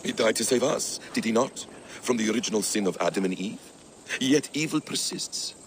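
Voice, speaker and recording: An actor playing a sly, assured sceptic in a video game, challenging with pointed rhetorical questions. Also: male voice, lowish voice, expressive, moderate pace, a little hiss or hum, lightly acted. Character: probing, sceptical male debater, sly and assured. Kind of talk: video game cutscene acting.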